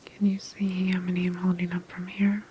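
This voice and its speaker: A woman whispers softly and gently in an ASMR medical roleplay, playing an attentive doctor. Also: lowish voice, monotone, normal speed, a little hiss or hum.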